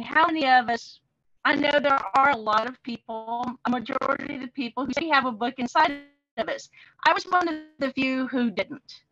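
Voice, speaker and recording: A woman's voice: high-pitched, pushed and bright, dull audio. A woman with a Texan accent, a guest author chatting in an interview and laughing often. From Texas, USA. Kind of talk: casual interview chat.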